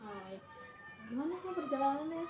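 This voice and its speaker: A woman's voice: sits high, sing-song, fairly quick. A young woman playing a counselor in a practice session, speaking hesitantly with many filler sounds. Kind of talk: role-played counseling session. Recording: echoey room, distant mic, noise.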